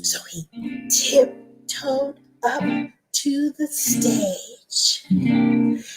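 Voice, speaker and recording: A woman's voice: high voice, animated, extremely slow, creaky, over music, poor audio. A woman telling a children's story in a dramatic manner, repeating words for effect.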